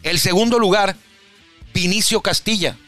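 A man's voice: sits high, moderate pace, fairly bright tone, faint music behind. Chatty man talking baseball stats. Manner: chatty, rambling, self-correcting. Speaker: baseball stats radio host. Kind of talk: casual sports talk.

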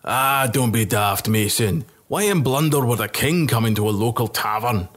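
A man voicing a gruff, deep-voiced male soldier. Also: animated, moderate pace, a bit bright. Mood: scoffing.

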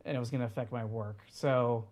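A man's voice: medium pitch, fairly even intonation, a little fast, a little mellow. A man in his thirties chatting casually as a podcast guest, full of fillers and restarts. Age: 30s. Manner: rambling, many fillers and restarts. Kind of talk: casual chat.

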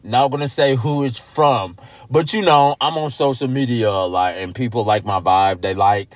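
A man co-hosting a casual podcast, echoing his partner's words and telling his own stories in slow, drawn-out asides. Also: mid-range voice. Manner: chimes in with short echoes, slow drawn-out asides. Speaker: podcast co-host. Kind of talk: casual chat.

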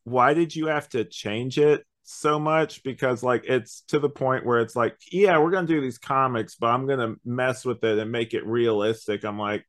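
A man's voice: mid-range voice, moderate pace, hardly any pauses, fairly bright tone. A man co-hosting a podcast, giving rambling, slangy opinions in a casual review chat. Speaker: podcast co-host.

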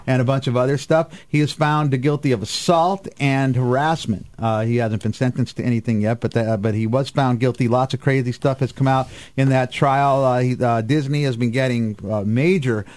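Male radio host, mid-pitched, casual and rambling, restarts thoughts mid-sentence. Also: steady tone, moderate pace, few pauses, darkish tone. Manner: rambling, self-interrupting. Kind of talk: casual live radio panel talk.

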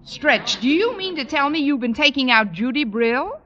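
A woman playing a wisecracking, scheming high school teacher, dry and crisp, in an old-time radio comedy. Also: lively intonation, normal speed, sharp tone, muffled recording. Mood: sardonic. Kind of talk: 1940s-50s radio comedy acting. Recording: old radio broadcast, hiss, studio audience.

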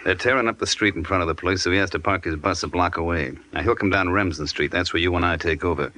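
An actor playing a tough, bossy American gang leader in an old-time radio crime drama, commanding and slangy. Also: male voice, sits low, fast talker, bright, forceful tone. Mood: scheming, commanding. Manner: commanding, slangy, sure of himself.

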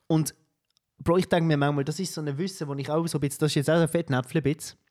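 Young man, Swiss German accent, slightly high voice, slangy, animated, casual podcast chat. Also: fast talker. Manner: slangy and animated, keeps saying "bro". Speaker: podcast co-host.

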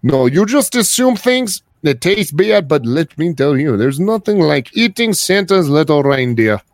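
A man's voice: fairly high pitch, big pitch swings, unhurried, dry recording, clean studio sound. A man playing a pushy shady Russian clerk. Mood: boastful, chummy. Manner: rambling, bossy, swings between menacing and chummy. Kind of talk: improvised comedy phone sketch.

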